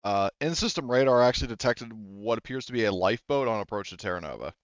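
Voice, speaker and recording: Male game master, casual and meandering, clean smooth tone, lo-fi dry sound. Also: fairly low pitch, animated, fast, no room sound. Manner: rambling, restarts sentences often. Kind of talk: casual tabletop game narration.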